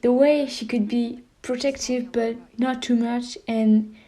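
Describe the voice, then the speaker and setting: A woman's voice: fairly high pitch, fairly even intonation, measured pace, warm tone. A woman with a girlish voice hosting a fan video, chatting nervously and enthusiastically, with frequent giggles. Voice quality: girlish, childlike voice. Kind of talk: casual fan commentary.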